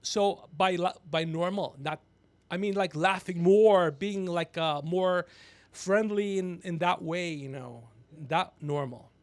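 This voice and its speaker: A gregarious man hosting a podcast, telling rambling comedic stories with animated, teasing asides. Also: high-pitched, slow, dry recording. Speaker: podcast host. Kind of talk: rambling comedic storytelling on a livestream.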